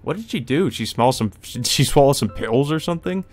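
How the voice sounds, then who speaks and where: A man's voice: medium pitch, expressive, brisk, faint music behind. A man hosting a let's play, reading visual novel narration aloud and adding his own off-the-cuff reactions.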